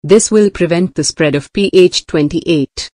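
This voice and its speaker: A woman's voice: on the low side, unhurried, warm tone, dry recording, clean studio sound. A woman's synthetic-sounding voice reading a formal scripted emergency broadcast announcement.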